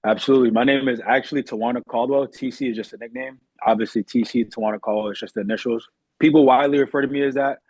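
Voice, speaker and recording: Young male guest, husky mid-range voice, earnest and polite, casual interview delivery, dull close-up recording. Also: mid-range voice, fairly even intonation, racing, darkish tone, dry recording. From Massachusetts, United States. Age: young adult.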